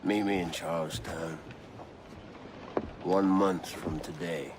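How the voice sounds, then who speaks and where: An actor playing a grave, commanding pirate in a video game, terse and deliberate. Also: male voice, low-pitched, fairly even intonation. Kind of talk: video game cutscene acting. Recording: noisy seagoing ambience.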